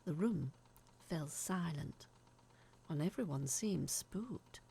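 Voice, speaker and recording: A woman telling a spooky first-person story in a dramatic, uneasy, confiding way, sometimes dropping to a hush. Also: low-pitched, lively intonation, takes it slowly, vocal fry, slightly rough. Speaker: narrator of a horror audio story.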